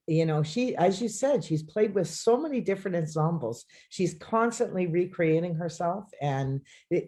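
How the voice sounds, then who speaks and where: A co-host of a relaxed online arts program speaks with a mellow, darker tone. The delivery is enthusiastic and explanatory, with easy laughter. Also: mid-range voice. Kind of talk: casual live-stream chat.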